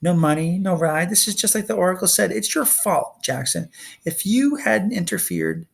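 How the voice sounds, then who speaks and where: A man's voice: high voice, big pitch swings, normal speed, vocal fry, breathy edge, pitched above the performer's own voice, full character voice. A man voicing a fiery, frustrated teenage girl warrior from a storybook, in indignant outbursts. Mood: upset, accusing.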